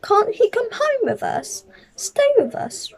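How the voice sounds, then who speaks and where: A woman's voice: extremely high pitch, very animated, takes it slowly, creaky, darkish tone, pitched above the performer's own voice, heavily acted. A woman voicing a mild, nervous and well-meaning husband and father in a children's storybook, hesitant and polite.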